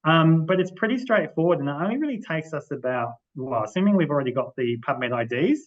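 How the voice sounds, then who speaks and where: A man giving an informal explanatory presentation as a library manager, speaking haltingly and often rephrasing as he goes, over a video call. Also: a little high, expressive. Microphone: video-call microphone. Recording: muffled video-call audio.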